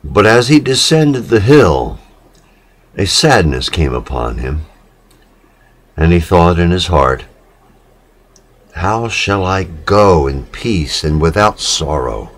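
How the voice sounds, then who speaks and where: A man giving a solemn literary reading, measured and dramatic, with pauses between short phrases. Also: low-pitched, animated. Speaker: reader of poetic literature.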